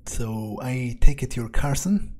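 A man's voice: medium pitch, expressive, takes it slowly, warm tone. A man improvising in character while playing a game, thinking aloud in a hesitant, casually profane, rambling way. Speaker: let's player.

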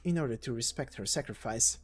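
A woman's voice: rumbling low, a little fast. A woman voicing a prickly, sardonic young female mercenary, evasive and defiant, in amateur game story acting. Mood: bitter, defiant.

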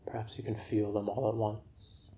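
A man guiding a yoga nidra relaxation for sleep in a gentle, unhurried, soothing manner. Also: on the low side, little pitch movement, lo-fi sound. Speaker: meditation guide.

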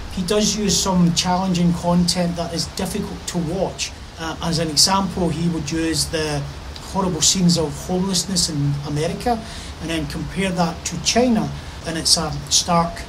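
A man's voice: a little high, background noise. A middle-aged man talking to camera in an enthusiastic, casual way from a covered pavilion in a park. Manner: enthusiastic, gesturing with his hands while he talks. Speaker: vlogger.

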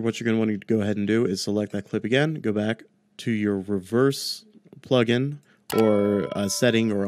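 A man's voice: lowish voice, a little mellow, no echo. A man in his twenties hosting a casual audio tutorial, explaining things in a chatty, informal way into a close desk microphone. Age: 20s. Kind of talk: casual screen-recorded tutorial. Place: small home room, indoors. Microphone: close desk microphone on boom arm.

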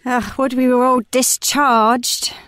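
A woman's voice: sits high, little pitch movement, slow, fairly bright tone, no room sound. A woman reading the part of a dutiful servant sent to collect debts in a Shakespeare play. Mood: dutiful, polite.

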